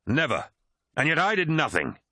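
An imperious warlord of superhuman warriors, played by a male audiobook reader, wrathful and forceful, often lifting above the narrator's register. The delivery is dramatic and commanding, captured very dry and close. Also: on the high side, wildly swooping pitch, normal speed, no room sound, voice pushed up, full character voice. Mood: angry. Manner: forceful, imperious, snapping and roaring. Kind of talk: dramatic audiobook character acting.

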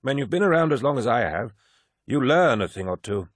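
A man doing a husky old veteran warrior. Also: mid-range voice, very animated, fairly quick, dry recording, voice pushed up. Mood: folksy lecturing. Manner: folksy, lecturing, calls listener boy. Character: old male veteran warrior, rambling, gently patronizing.

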